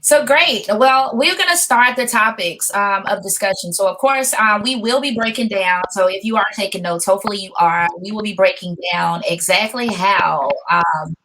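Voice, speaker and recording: A woman's voice: mid-range voice, moderate pace, hardly any pauses, pushed and bright. Woman moderating over noisy audio. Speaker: workshop moderator. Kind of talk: informal workshop hosting. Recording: clear background noise with clicks.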